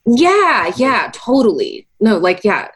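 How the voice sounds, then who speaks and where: A woman's voice: fairly high pitch, sing-song, slow, fairly bright tone, slightly rough. A young woman from Taiwan, a musician guest in her twenties, talking casually in an interview with many fillers and frequent laughs.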